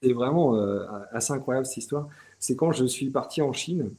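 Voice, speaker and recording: Mellow-voiced Frenchman, hesitant and chatty. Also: mid-range voice, moderate pace. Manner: hesitant, many restarts and "tu vois". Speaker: interview guest. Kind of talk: relaxed conversational interview.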